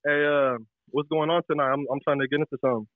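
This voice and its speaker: A man's voice: a little high, level tone, quick pace, phone-quality sound. A young American man, a caller casually telling a personal story in slangy, profane language.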